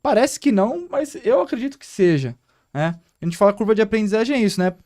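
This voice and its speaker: High-voiced Brazilian man explaining eagerly. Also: quick pace. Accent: Brazilian Portuguese. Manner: explanatory, animated, asks listeners to agree. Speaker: podcast co-host, acupuncturist. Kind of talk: casual podcast chat.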